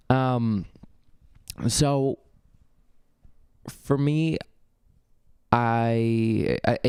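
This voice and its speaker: A young man hosting his own podcast, talking casually and a bit gravelly from a cold, with lots of ums and restarts as he rambles. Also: fairly low pitch, dark tone, dry recording, studio quality. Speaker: podcast host. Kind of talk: casual solo podcast talk. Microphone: studio vocal mic.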